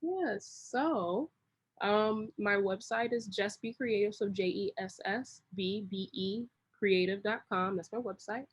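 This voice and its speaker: A woman's voice: medium pitch, a little slow, breathy edge. Casual, long-winded woman in an interview. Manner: long-winded, rambling, slangy. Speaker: interview guest, creative director.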